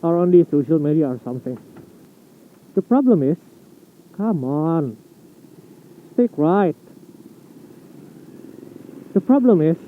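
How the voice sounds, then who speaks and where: A man's voice: high-pitched, a little hiss or hum. Blunt Indonesian-accented young man, tinny sound. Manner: chatty, blunt, swears casually. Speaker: motovlogger. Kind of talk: casual vlog monologue.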